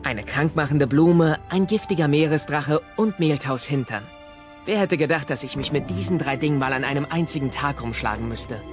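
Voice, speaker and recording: A man's voice: fairly high pitch, moderate pace, music in the background, close to an everyday voice. A young man playing an earnest, caring Viking son in a children's audio drama. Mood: caring, relieved.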